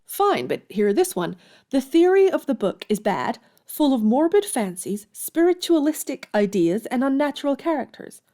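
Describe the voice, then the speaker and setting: A woman's voice: high-pitched, sing-song, normal speed, warm tone. A woman playing a headstrong young writer in a period audio drama, outspoken and emphatic. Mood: indignant.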